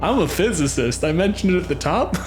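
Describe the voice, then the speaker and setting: A man's voice: high voice, expressive, a little fast, soft background music. A man chatting casually as a podcast co-host, wry and given to casual swearing. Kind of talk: casual podcast panel chat.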